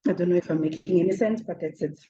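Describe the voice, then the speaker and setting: A woman's voice: on the low side, quick pace, mellow. Chatty South African woman, forties. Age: 40s. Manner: chatty, laughs often, mixes in Zulu words. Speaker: interviewee. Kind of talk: casual interview answers. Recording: slightly dull, faint background noise.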